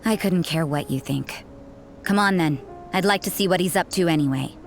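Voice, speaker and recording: A cynical, battle-hardened church sister from a fantasy game, played by a woman. Her voice sits low, and she speaks with aloof, sardonic dryness and constant wariness. Music plays faintly behind her. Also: normal speed, natural voice. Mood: cool, watchful. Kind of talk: video game voice acting.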